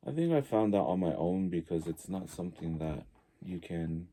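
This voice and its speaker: A man in his early forties from Tonga, talking casually and reflectively as a podcast guest, halting as he thinks aloud. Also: sits low, animated, mellow. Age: early 40s.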